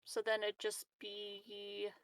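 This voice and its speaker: A woman's voice: medium pitch, completely flat delivery, slow pace, clean tone, dry recording. A woman chatting casually as a tabletop game player, tentatively checking things as she goes.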